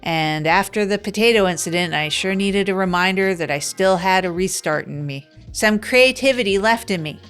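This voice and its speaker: Woman, mid-pitch, deadpan small-town radio host, rambling and self-deprecating, light music under her. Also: normal speed, few pauses, clear, resonant tone. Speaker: radio show host character. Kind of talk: improvised comedy radio monologue.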